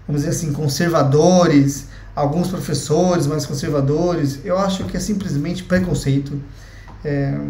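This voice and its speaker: A young Brazilian man with a Brazilian Portuguese accent, giving his opinion in a blunt, chatty vlog and swearing freely. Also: a little high. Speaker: vlogger. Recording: echoey room.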